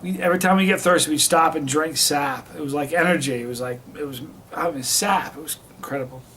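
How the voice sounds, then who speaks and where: A rambling, chatty man from Vermont talks through a hot sauce review, restarting his sentences often. His voice sits a little higher than most men's. The recording carries a clear hiss of background noise. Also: a little fast, bright, forceful tone. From Vermont, USA. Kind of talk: casual review vlog.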